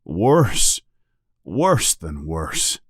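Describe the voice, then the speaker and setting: A man voicing a big gruff but kind-hearted giant in a storybook reading, growling low and slow. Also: big pitch swings, no echo, clean studio sound, exaggerated voice. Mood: gruff, troubled.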